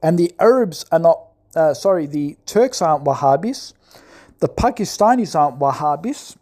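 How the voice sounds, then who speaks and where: A man's voice: fairly high pitch, animated, normal speed, darkish tone. A man in an impassioned, scolding monologue who keeps asking "right?". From Australia. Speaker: commentator.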